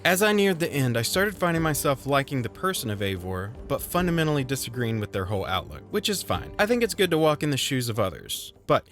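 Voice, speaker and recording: A man with a mid-pitched voice narrates a scripted video game review. His delivery is wry, with a touch of theatrical flourish. Music plays clearly behind him. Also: big pitch swings, brisk, hardly any pauses, a bit bright.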